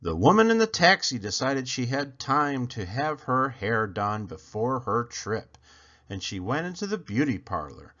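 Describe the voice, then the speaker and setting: A man reading a children's picture book aloud, expressively and with dramatic pauses. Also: mid-range voice, big pitch swings, a bit bright, lo-fi sound. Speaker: read-aloud storyteller.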